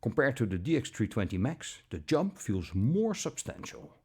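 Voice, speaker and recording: A man reading a scripted product review in a measured, deliberate manner, with short pauses between phrases. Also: mid-range voice, sing-song, a little mellow, slightly rough. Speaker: audio gear reviewer.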